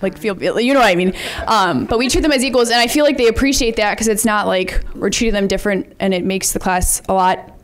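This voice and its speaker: A teenage girl, a student leader, presenting to a school board in a chatty way with many ums and likes, and she says she has a loud voice. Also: mid-range voice, quick pace, hardly any pauses. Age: teens.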